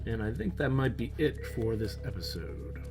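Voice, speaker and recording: A man's voice: mid-range voice, lively intonation, normal speed, music in the background. A man hosting a gaming channel, losing his voice as he thinks aloud in casual let's-play commentary. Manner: thinking aloud, musing while playing.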